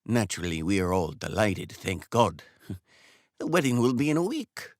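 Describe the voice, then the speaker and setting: A man's voice: lowish voice, big pitch swings, moderate pace, no room sound, clean studio sound. A man voicing a smug older male customer who talks down to the barber in a bluff, patronizing way. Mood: cheerful. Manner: bluff, patronizing, matter-of-fact.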